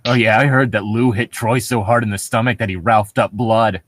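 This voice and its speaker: A man's voice: on the low side, fairly even intonation, normal speed, a bit bright, no echo, studio quality. A man reading a story aloud mockingly, with casual, sarcastic joking and some swearing. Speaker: co-host of a comedic story reading.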